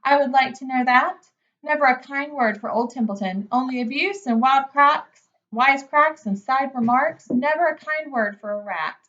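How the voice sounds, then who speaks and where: A woman's voice: high-pitched, normal speed, fairly bright tone, dull audio. A woman voicing a grumbling, self-pitying old male rat from a children's storybook, with a mocking, complaining manner. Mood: aggrieved sarcasm. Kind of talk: children's storybook character reading.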